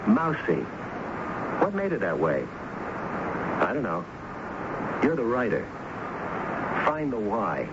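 An actor playing a dogged, shrewd police lieutenant in a radio drama, asking probing, insistent questions. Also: male voice, fairly low pitch, wildly swooping pitch, slow, long pauses, full character voice. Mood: intent storytelling. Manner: probing, insistent questioning, hesitant lead-ins. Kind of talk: 1970s radio drama acting. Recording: muffled, noisy 1970s radio broadcast.

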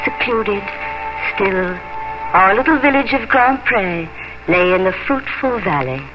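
An actress playing a gentle, wistful young farmer's daughter in a lyrical, measured 1950s radio drama. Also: female voice, medium pitch, animated, close to an everyday voice. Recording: muffled old radio recording. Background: loud music.

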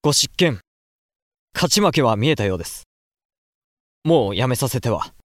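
Man acting an earnest, animated young samurai heir. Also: medium pitch, moderate pace, natural voice. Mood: deferential concern. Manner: formal, emotional samurai speech. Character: earnest, frustrated young samurai heir, dutiful but resentful. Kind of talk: period audio drama acting.

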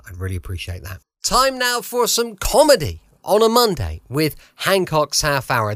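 A man's voice: on the high side, fairly bright tone. An English-accented man hosting a podcast from home, chatty and enthusiastic as he introduces the show. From United Kingdom. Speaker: podcast host. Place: home, close dry microphone.

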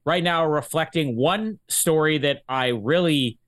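A man's voice: on the high side, a little slow, a bit bright. A man hosting a casual podcast book discussion, thinking aloud in a rambling way. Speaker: podcast host.

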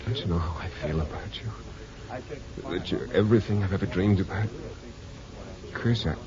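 A man's voice: lowish voice, normal speed, a crowd in the background, natural voice. An actor in a 1950s radio drama playing an earnest, lovestruck man who stammers and presses urgently. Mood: urgent, ardent. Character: lovestruck, insistent young hospital patient. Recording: noisy, dull 1950s radio recording.

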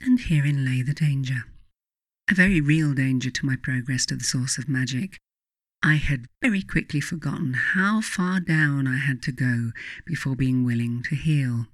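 A woman with a British accent reading her own self-help book as scripted narration, in measured, deliberate phrases with pauses. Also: extremely low pitch, no echo.

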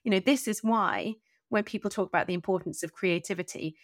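A woman's voice: mid-range voice, fairly quick, dry recording, studio quality. A woman hosting an interview podcast, reflecting conversationally and emphatically, often piling up words in lists.

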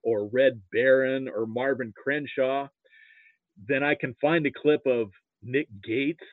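An American man from Nebraska talking casually about sports as a podcast guest, halting and opinionated. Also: mid-range voice, fairly even intonation, dry recording. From Nebraska, United States. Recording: thin phone-like call audio.

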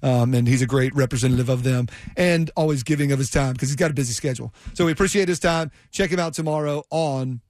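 A man's voice: mid-range voice, fast, few pauses, a little mellow, no room sound, clean studio sound. A man hosting a sports talk radio show, talking in a conversational, effusive, rambling way. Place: radio studio.

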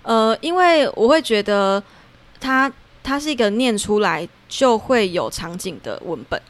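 A woman's voice: fairly high pitch, animated, unhurried. A woman with a Taiwanese Mandarin accent talking reflectively as a guest in a casual podcast conversation. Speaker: guest, theatre playwright and performer.

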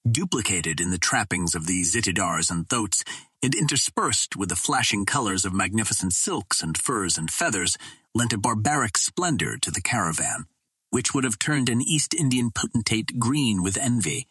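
A man narrating a classic adventure novel in a measured, steady reading. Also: on the low side, husky, dry recording, clean studio sound. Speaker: audiobook narrator.

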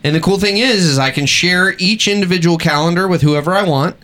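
A man's voice: high voice, a little fast, sharp tone. A man in his late thirties hosting a podcast, joking crudely with friends and explaining things at length. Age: 30s. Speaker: podcast host. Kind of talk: casual crude banter.

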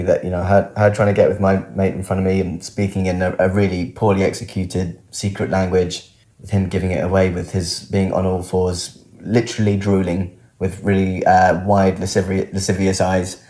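A man with a low voice speaks as an interview guest. He tells a personal story candidly, in halting but descriptive phrases. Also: darkish tone.

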